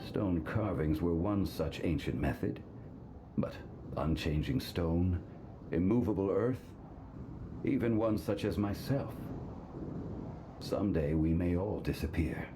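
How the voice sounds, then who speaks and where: A man voicing an ancient male god in human form, speaking in a calm, measured, solemn and formal way. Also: deep voice, background noise. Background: loud game music.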